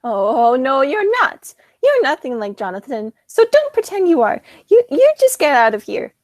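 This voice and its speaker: A woman's voice: high voice, sing-song, normal speed. A teenage girl playing a prim, stubborn elderly aunt, sweet but indignant, in a school radio play. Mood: stern. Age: teens.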